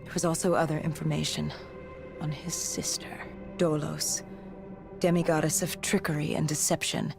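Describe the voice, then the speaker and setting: Intense woman soldier for a game, low creaky voice, hushed and grave, kinda halting, bit of music under it. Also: little pitch movement. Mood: grave, haunted. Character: haunted, intense female soldier recounting the apocalypse. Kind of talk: dramatic video game voice acting.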